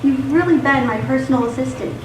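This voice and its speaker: A woman's voice: sits high, a little fast, close to an everyday voice. A woman acting an anxious, trusting corporate whistleblower in a live radio play. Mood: earnest, relieved. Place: theatre stage. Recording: echoey stage, distant mic, background noise.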